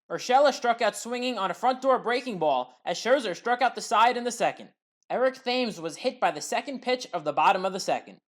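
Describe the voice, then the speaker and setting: Woman reading baseball highlights voice-over. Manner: steady recap delivery, lifts on big plays. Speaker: highlights commentator. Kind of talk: scripted play-by-play voice-over.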